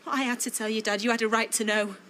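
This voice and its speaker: An actress playing a defiant, self-pitying grown-up daughter in a TV soap, sarcastic and accusing, with a Northern English accent. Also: female voice, a little high, level tone, fast, fairly bright tone, a little hiss or hum, lightly acted. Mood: aggrieved. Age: young adult. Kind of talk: naturalistic television soap drama acting.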